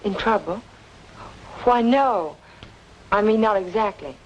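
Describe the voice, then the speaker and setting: A woman's voice: medium pitch, expressive, slow, muffled recording. Troubled young woman, noisy old recording. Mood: polite, flustered. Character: polite, troubled young woman questioning those around her. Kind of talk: old-time radio noir drama acting.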